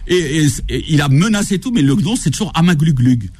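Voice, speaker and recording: A man's voice: on the high side, lively intonation, brisk, a little mellow, husky. A man speaking as a guest author on a radio talk show, telling anecdotes in an enthusiastic, colloquial way and acting out reported dialogue. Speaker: guest author presenting his book on African football.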